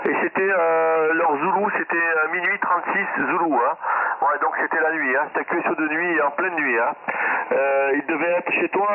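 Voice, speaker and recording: A man talking casually as an amateur radio operator over a radio transmission, often repeating phrases and tagging them with a questioning filler. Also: on the high side. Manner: frequent "hein" tags, repeats phrases. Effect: ham radio transmission. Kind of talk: casual ham radio exchange. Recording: noisy, narrow shortwave radio link.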